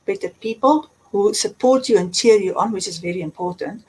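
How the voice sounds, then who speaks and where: A woman's voice: mid-range voice, brisk, darkish tone, breathy edge, low-quality recording. A woman with a South African accent, a coach giving earnest advice in an interview. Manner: earnest, advisory, repeats words. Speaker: guest, transformational coach. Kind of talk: interview answers, explaining.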